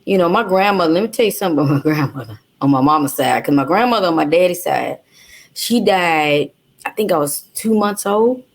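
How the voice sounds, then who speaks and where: A woman from Louisiana with a Creole accent, telling her life story as an interview guest in an animated, salty way full of slang and swearing. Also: fairly low pitch, brisk.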